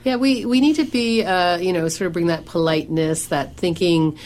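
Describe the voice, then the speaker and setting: Middle-aged lady organizer on radio, deep voice, big carrying voice, says "you know" a lot. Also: moderate pace, a little mellow, slight background noise. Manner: says she has a big voice that carries; conversational, many fillers and restarts. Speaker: professional organizer and coach. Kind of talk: radio show conversation.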